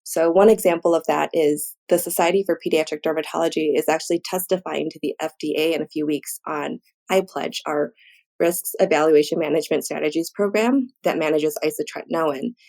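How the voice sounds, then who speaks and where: A woman's voice: low-pitched, steady tone, fairly quick, gravelly, a little mellow. A woman speaking as an expert guest in an interview, explaining academic research in structured, articulate points with examples.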